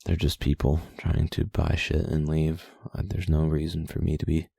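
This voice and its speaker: A man's voice: rumbling low, flat delivery, normal speed, creaky, warm tone, breathy edge, dry recording, studio quality. A young man casually reading his journal aloud late at night, rambling, swearing and putting himself down. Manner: profane, self-deprecating, rambling.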